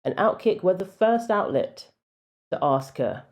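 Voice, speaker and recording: A woman with a deep voice giving casual, opinionated commentary with wry asides. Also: sing-song, a little slow, darkish tone.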